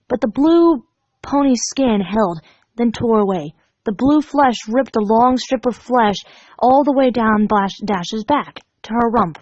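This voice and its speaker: A woman reading a story aloud as an amateur narrator, dramatic, now and then stumbling over the words. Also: a little high. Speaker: fan fiction reader. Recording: dull, echoey home recording.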